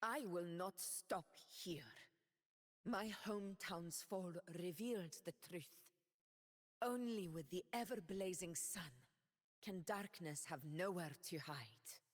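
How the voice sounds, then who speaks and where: Game casting: a commanding warrior ruler, unhurried and resolute, with roaring battle shouts. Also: female voice, lowish voice, in a large hall. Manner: slow, measured and grand in speech; roaring battle shouts. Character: proud warrior woman ruler, fierce and commanding. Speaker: game character. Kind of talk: video game voice lines.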